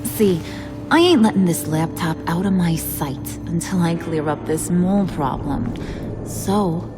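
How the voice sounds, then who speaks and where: A woman's voice: fairly low pitch, lively intonation, measured pace. Bossy slangy lady crime boss for a game, teasing and menacing, music behind. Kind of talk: video game acting.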